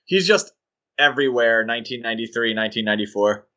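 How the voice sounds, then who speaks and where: A man co-hosting a podcast, talking in a casual, animated, rambling way with fillers and restarts. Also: medium pitch, quick pace, dull audio. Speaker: podcast co-host.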